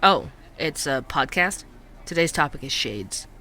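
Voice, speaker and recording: A man's voice: on the high side, lively intonation, unhurried, sharp tone. An actor playing a curious, chatty male podcast host, conversational and wry in an explanatory way, in a scripted audio drama. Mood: friendly, teasing. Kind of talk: scripted fiction podcast narration and interviews.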